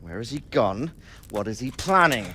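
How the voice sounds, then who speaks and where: An actor playing an impatient, threatening medieval interrogator, pressing hard with questions in a video game drama. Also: male voice, mid-range voice, sing-song, moderate pace, pushed and bright, slightly rough, full character voice.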